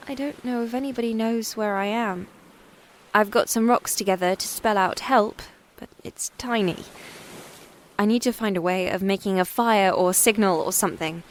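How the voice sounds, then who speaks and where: A woman playing a frightened, weary young plane-crash survivor in an audio drama monologue, confiding in a halting, plain-spoken, wryly understated way. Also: medium pitch, close to an everyday voice. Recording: clearly noisy background.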